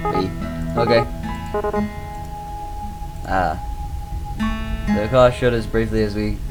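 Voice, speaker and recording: A man's voice: fairly low pitch, rough recording. A man doing a casual game playthrough, reading lines aloud and tossing in blunt, sarcastic, sweary commentary. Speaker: gaming video host reading visual novels aloud.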